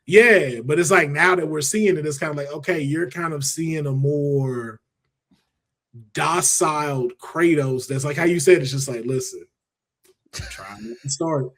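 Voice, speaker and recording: A man hosting a casual pop culture discussion in a colloquial, emphatic, animated way. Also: on the high side, a little slow. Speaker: podcast host.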